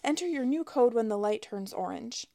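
A woman's voice: lively intonation, moderate pace, mellow. A woman narrator voicing a polite female customer service representative with a syrupy-sweet, scripted manner. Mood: nervously polite. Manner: scripted, high-pitched, saccharine politeness.

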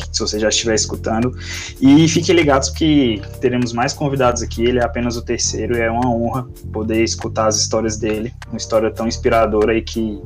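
Brief: young male host, easygoing chat, faint music under him. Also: mid-range voice. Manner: relaxed, friendly sign-off patter. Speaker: podcast host. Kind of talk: casual podcast hosting.

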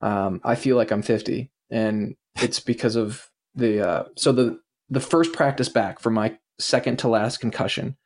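Gravelly low-voiced man in his twenties. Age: 20s. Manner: casual, hesitant, many fillers. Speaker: former athlete guest. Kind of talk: interview answer.

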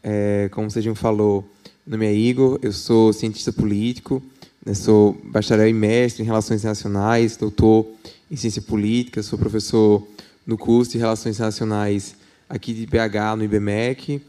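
A man with a Paraíba accent, a political scientist and professor, introducing himself as a guest in a measured, composed way. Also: on the low side, flat delivery, dark tone. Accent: Paraíba, Northeastern Brazilian. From Paraíba, Brazil. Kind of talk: formal self-introduction.

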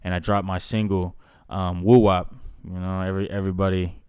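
A man in his twenties with a deep baritone voice, a guest chatting casually in an interview, rambling with many fillers. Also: flat delivery, fairly quick, darkish tone, clear, resonant tone, dry recording, clean studio sound. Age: 20s. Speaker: guest, recording artist.

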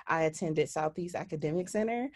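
A woman speaks in a very low voice. She sounds earnest and reflective as she tells her story. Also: a little fast. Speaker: guest. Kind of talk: interview storytelling.

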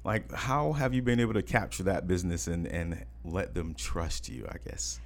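A woman's voice: extremely low pitch, lively intonation, normal speed. A woman hosting a podcast interview, encouraging her guest with frequent short affirmations. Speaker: podcast host. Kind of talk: casual interview.

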